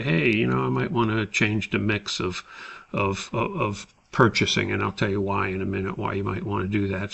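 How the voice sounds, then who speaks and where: A man giving an unscripted, step-by-step tutorial as an instructor, explaining in a halting, methodical way. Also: lowish voice, vocal fry, fairly bright tone. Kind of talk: screen-recorded spreadsheet tutorial, unscripted explanation.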